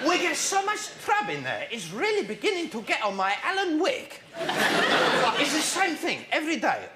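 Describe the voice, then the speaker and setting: A man's voice: very high-pitched, heavily acted. An actor playing a cheeky, grumbling Greek kebab shop owner with East London slang in a stand-up comedy monologue, mangling his English. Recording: live stage, very noisy recording.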